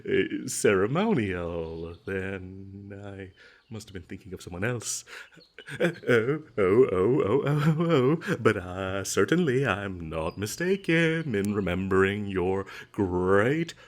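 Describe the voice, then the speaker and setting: A man playing a nervous, smooth-talking captured adventurer in a comedic fantasy audio roleplay, stammering and hesitant as he tries to ingratiate himself. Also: on the low side, lively intonation, slow pace. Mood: flustered, ingratiating. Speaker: voice actor.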